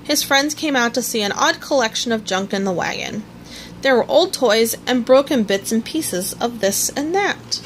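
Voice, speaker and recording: Animated high-voiced woman reading a children's story. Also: normal speed, a little hiss or hum, low-quality recording. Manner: expressive storytelling. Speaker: storybook reader. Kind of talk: scripted children's story narration, read aloud.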